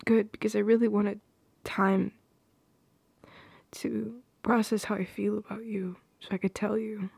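Female performer as shy, tender young woman in love, ASMR roleplay. Breathy, whispery, hesitant, with plenty of vocal fry and slow delivery. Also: mid-range voice, flat delivery, darkish tone, dry recording, heavily acted. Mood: nervous, tender.

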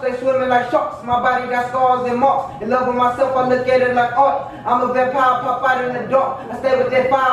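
A young man rapping his verses in a small home recording room, delivering quick rhymed lines into a studio mic. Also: very high voice. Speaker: rapper. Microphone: studio condenser mic with pop filter. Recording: echoey room, mic from a distance, beat playing.

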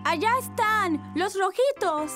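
A woman's voice: extremely high pitch, expressive, slow pace, over music, exaggerated voice. A woman voicing an eager, curious four-year-old boy in a children's cartoon dub.

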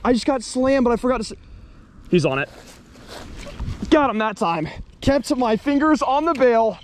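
A young man filming his own fishing trip at an outdoor pond on a body-worn camera, chatting excitedly to viewers and laughing often. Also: very high voice, moderate pace, slight background noise. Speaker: fishing vlogger. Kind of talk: casual vlog commentary. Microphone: body-worn action camera.

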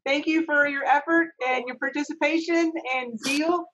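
A woman's voice: sits high, steady tone, fairly bright tone, dull audio, a little hiss or hum. A middle-aged woman acting as stage manager, cheerfully thanking each reader in a casual group video call from her home office. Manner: upbeat, encouraging, brisk announcing. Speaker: stage manager hosting an online reading. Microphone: webcam on a video call.

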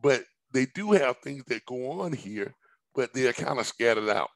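A hoarse middle-aged man giving a rambling business pitch over a headset on a video call from home, with frequent ums, repeated words and coughs. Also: animated, normal speed, a bit bright, dry recording. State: hoarse, recovering from illness. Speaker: business presenter. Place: indoors at home, large room with staircase.

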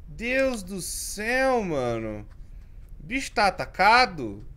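A Brazilian man in his thirties, a streamer giving jumpy commentary as he plays a horror game, swinging from mumbles to yells. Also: high voice, huge pitch swings, takes it slowly. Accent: Brazilian Portuguese. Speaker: gaming streamer. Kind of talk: let's play commentary. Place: home streaming room with colored lights.

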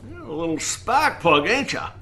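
A man's voice: fairly high pitch, sing-song, normal speed, pushed and bright, slight background noise, heavily acted. A man playing a weary, wary old lighthouse keeper in an audio drama, with a folksy drawl. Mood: teasing, skeptical. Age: older.

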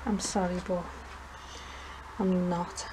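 A woman's voice: fairly low pitch, flat delivery, slow pace. Nervous woman exploring, noisy camera audio. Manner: nervous, hushed exclaiming in short remarks. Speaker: urban explorer vlogger. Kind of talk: casual commentary while exploring alone. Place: inside a derelict empty house. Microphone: handheld camera microphone.

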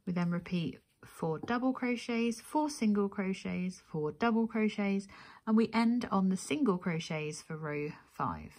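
A woman presents a step-by-step craft tutorial at a middling pitch. She explains each stage methodically and counts through it aloud. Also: expressive, unhurried, darkish tone.

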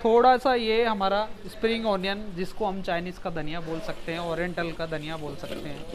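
A man's voice: sits high, level tone, a little fast. A man in his thirties, a chef giving a step-by-step cooking demonstration in a restaurant kitchen on a lapel mic, mixing English words into his talk. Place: commercial kitchen.

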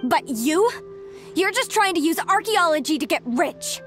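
A woman's voice: extremely high pitch, expressive, unhurried, fairly bright tone, soft background music, full character voice. A woman voicing an earnest young archaeologist who argues back indignantly in a video game.

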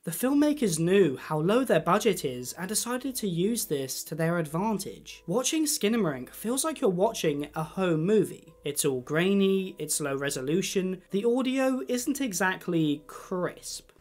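A man's voice: high voice, very animated, a little slow, hardly any pauses, darkish tone. A man narrating a scripted, conversational video essay as a YouTuber, with wry, jokey asides.

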